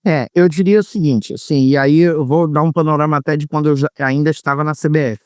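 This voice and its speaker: A man from Bahia speaks as an interview guest, explaining at length and pausing often to find his words. His voice is smooth and clear and sits slightly high. The sound is dry and close. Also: steady tone, no room sound. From Salvador, Bahia, Brazil. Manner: measured, explanatory, many hesitations. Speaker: former marketing executive, guest. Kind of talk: interview answers.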